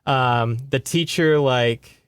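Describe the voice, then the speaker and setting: A man's voice: medium pitch, takes it slowly, clear, resonant tone, dry recording, studio quality. A man co-hosting a podcast, recapping a book in a rambling, conversational way with sardonic asides. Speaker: podcast co-host. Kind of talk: casual book recap chat.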